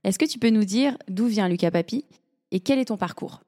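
Mellow-voiced female podcast host. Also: medium pitch, animated, a little fast. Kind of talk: conversational podcast hosting.

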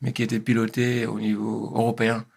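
A man's voice: fairly low pitch, completely flat delivery, fairly quick, creaky. A man in his fifties from Senegal, answering interview questions in a hesitant way, with frequent fillers and restarts. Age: 50s. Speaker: guest.

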